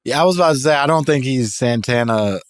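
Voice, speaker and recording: A man co-hosting a comedy podcast, chatting casually with profane asides, frequent laughs and halting fillers. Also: medium pitch, no echo. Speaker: podcast co-host.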